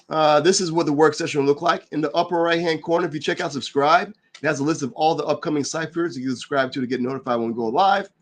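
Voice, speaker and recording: Slightly nasal man recovering from a cold. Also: a little high. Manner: relaxed, filler-heavy, rambling. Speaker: livestream host and developer advocate. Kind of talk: casual explanatory livestream talk.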